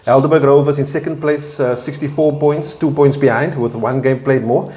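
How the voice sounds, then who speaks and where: A man with a South African accent hosting a casual local football roundup, upbeat and quick with asides and laughs. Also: medium pitch, fairly even intonation, dark tone. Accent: South African English. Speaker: host. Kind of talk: casual sports chat.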